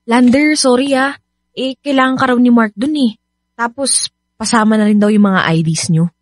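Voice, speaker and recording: A woman's voice: on the high side, normal speed, no echo, clean studio sound, natural voice. An actress playing a friendly, apologetic and chatty young woman in a Filipino radio drama. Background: music.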